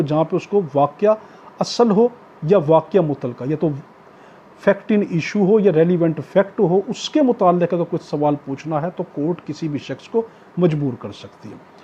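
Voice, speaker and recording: A man with a Pakistani accent lectures in a high, mellow voice with a husky edge. He explains like a teacher, going back over each point in new words. The recording is dull and lo-fi. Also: a little hiss or hum. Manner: didactic, repeats and rephrases points. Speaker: law lecturer. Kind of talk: explanatory law lecture, reading out provisions then paraphrasing.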